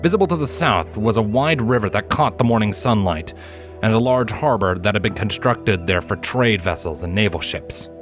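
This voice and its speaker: A man's voice: lowish voice, expressive, moderate pace. A man reading scripted story narration as the narrator of an audiobook. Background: soft music.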